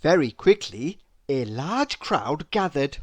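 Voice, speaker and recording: A woman reading a children's storybook aloud in an expressive narrating style. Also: sits low, takes it slowly, breathy edge, no room sound.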